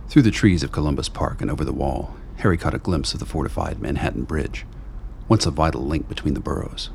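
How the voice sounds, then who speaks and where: A man narrating a radio play in a measured, ominous storytelling manner, with a deep gravelly voice. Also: slight background noise.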